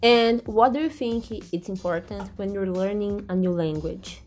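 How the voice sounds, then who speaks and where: A woman's voice: mid-range voice, normal speed, a little mellow, faint music behind. A young Brazilian woman with a Brazilian Portuguese accent, a podcast guest speaking English hesitantly and searching for words.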